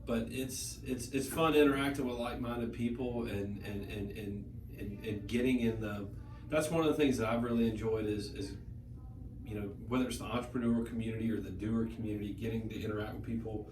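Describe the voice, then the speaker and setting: A man's voice: on the low side, fairly even intonation, a little fast. A man in his late thirties, a guest in a casual podcast chat, who rambles and restarts his sentences often. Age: 30s. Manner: rambling, many restarts and repeated words. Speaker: guest, brewery owner. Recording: echoey room, noisy, mic at a distance.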